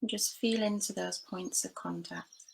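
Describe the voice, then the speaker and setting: A woman leading a guided body scan meditation, giving gentle, unhurried instructions with long pauses between phrases. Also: mid-range voice, steady tone, gravelly, slight background noise. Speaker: meditation teacher.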